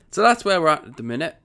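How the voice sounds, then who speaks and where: A man hosting a strategy game commentary, chatty and rambling, with a croaky morning voice. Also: a little high, sharp tone. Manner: chatty, rambling, self-correcting. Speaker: gaming channel host.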